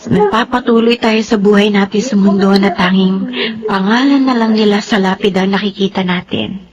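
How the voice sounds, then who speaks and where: A woman's voice: medium pitch, fairly even intonation, normal speed, lo-fi sound, crowd noise, natural voice. An actress playing an affectionate widowed mother and elder sister in a radio drama, speaking expressively. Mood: tender, solemn. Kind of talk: Filipino radio drama acting.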